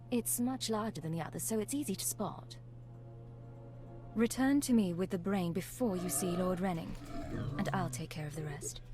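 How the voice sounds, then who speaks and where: A woman's voice: medium pitch, mellow, natural voice. A woman playing a haughty, ambitious young Sith apprentice who schemes with disdain, in a video game. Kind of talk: video game character acting.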